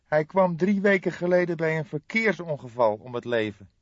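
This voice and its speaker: A man voicing a keen, doubtful young police detective in animated, questioning audiobook dialogue. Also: fairly high pitch, moderate pace, pitched above the performer's own voice, lightly acted. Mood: grave, shaken. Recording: dull lo-fi, boxy roomy sound.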